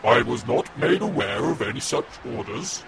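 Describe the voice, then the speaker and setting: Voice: mid-range voice, very animated, moderate pace, background noise, lightly acted. A man voicing cold, mechanical Cybermen who issue commands in a fan audio drama. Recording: loud music bed.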